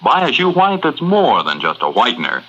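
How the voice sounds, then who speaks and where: An American man delivers a vintage radio commercial as a network announcer. His pitch swings widely and his tone is sharp and forceful, through a muffled old broadcast. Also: huge pitch swings, moderate pace. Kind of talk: 1940s radio advertising read.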